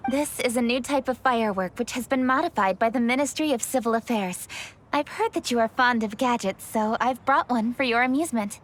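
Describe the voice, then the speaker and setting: A woman's voice: a little high, a little fast, in a large hall, close to an everyday voice. A woman playing a poised, confident young official in a video game, speaking formally and politely. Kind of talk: video game cutscene acting.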